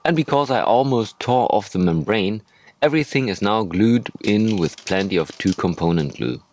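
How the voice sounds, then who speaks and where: A man's voice: lowish voice, expressive, moderate pace, a little mellow. A man narrating a hobby tutorial as a voice-over, hosting his own how-to video.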